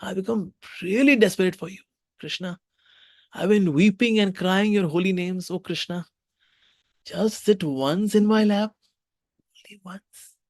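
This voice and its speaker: Male storyteller putting on an elderly fruit-seller woman, high, begging and tearful, dry close sound. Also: a little slow, pauses often, no echo. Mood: pleading.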